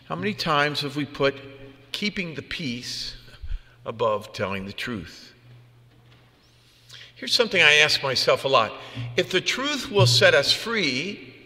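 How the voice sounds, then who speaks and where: Male priest, higher-pitched and forceful, conversational homily delivery in a big reverberant space. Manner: conversational, plain-spoken, rhetorical questions. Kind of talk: homily with personal anecdotes. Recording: long reverb of a large room.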